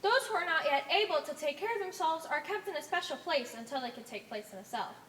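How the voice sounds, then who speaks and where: A woman's voice: high-pitched, lively intonation, quick pace, a bit bright, reverberant, a little hiss or hum. A woman playing an earnest, deferential alien in an amateur stage play, explaining things in a careful, theatrical way. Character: earnest, deferential female alien, member of a cell.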